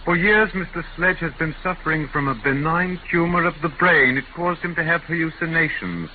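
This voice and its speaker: An actor playing a serenely servile humanoid robot with measured, formal, unemotional courtesy in a 1950s radio drama. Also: male voice, a little high, lively intonation, close to an everyday voice. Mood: politely menacing. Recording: tinny, hissy old radio recording.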